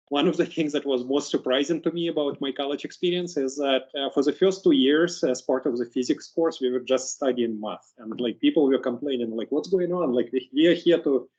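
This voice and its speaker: A man from Russia with a Russian accent giving a keynote talk, halting and often repeating words. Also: medium pitch, lo-fi sound. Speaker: keynote speaker, engineering executive.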